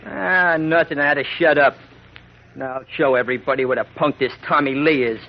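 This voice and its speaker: A man's voice: a little high, fairly quick, close to an everyday voice. A man playing a cocky, slangy, youthful tough boy who rattles easily, in 1940s radio drama style. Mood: resentful. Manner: slangy, streetwise. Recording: muffled 1940s radio recording.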